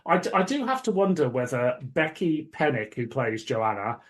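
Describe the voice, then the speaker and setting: A man's voice: mid-range voice, very animated, normal speed. Man chatting as podcast host. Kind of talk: casual podcast chat.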